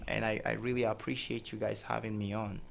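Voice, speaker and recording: A man's voice: on the low side, little pitch movement, brisk, gravelly, a little hiss or hum. Man, hesitant guest. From Nigeria. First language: Hausa. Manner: laughs often, hesitant with many fillers. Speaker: radio guest. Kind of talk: casual radio interview.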